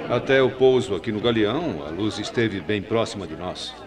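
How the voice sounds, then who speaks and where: Low-voiced veteran Brazilian airline captain, played by a man. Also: animated, normal speed, a crowd in the background, noisy background, lightly acted. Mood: earnest recounting. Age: middle-aged. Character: veteran male airline captain, composed and authoritative. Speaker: radio actor. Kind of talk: 1990s radio drama acting.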